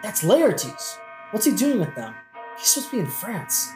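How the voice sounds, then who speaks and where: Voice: medium pitch, sing-song, moderate pace, over music, close to an everyday voice. An actor in a satirical audio play playing an exasperated, brooding young prince who talks in a casual, sweary, dramatic way. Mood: surprised, wary. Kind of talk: satirical modern-language audio play acting. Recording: echoey room with faint noise.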